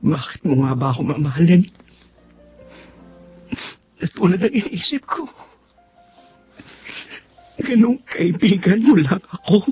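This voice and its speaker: A man's voice: high-pitched, big pitch swings, unhurried, long pauses, a little mellow, dull audio, soft background music. An actor playing an anguished young man secretly in love with his male friend, in melodramatic radio drama style. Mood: anguished, tearful. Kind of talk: Tagalog radio drama acting.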